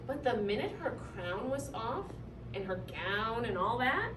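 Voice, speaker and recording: A woman reading a children's picture book aloud in an animated, deliberate way with dramatic emphasis. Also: a little high. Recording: echoey room, distant mic, clear noise.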